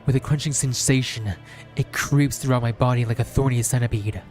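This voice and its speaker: A man reads a visual novel aloud as dramatic first-person narration, measured and solemn, in short deliberate phrases. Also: medium pitch, steady tone, a little mellow. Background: background music.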